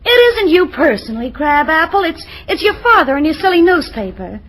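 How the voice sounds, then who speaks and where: A sharp-tongued teenage girl from a 1950s radio comedy. Her voice is very high and bright, and she talks in teasing, sardonic slang. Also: big pitch swings, fairly quick, low-quality recording. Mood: teasing, dismissive. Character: sharp-tongued, teasing teenage daughter of a middle-class family.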